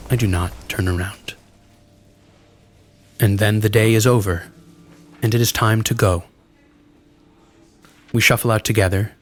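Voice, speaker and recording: A man with a fairly low voice narrates a grim first-person horror story. His delivery is very slow and numb, in short phrases set apart by pauses. Music sits clearly behind the voice.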